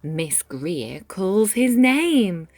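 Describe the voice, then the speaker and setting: A woman reading a rhyming children's picture book aloud, with measured, dramatic phrasing and deliberate pauses. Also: mid-range voice, sing-song, a little mellow, no room sound. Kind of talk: expressive children's read-aloud narration in rhyme. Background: music.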